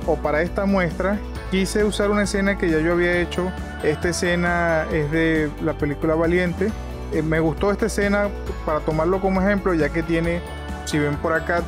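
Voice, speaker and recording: A man hosting an informal video tutorial, explaining conversationally and often repeating and rephrasing himself. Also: fairly high pitch.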